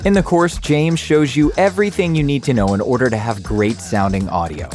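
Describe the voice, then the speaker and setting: A man's voice: mid-range voice, fairly quick, darkish tone, faint music behind. A man giving an upbeat, direct tutorial to camera as an audio educator, recorded on a studio condenser mic. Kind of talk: casual tutorial talk to camera. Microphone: studio condenser mic on arm.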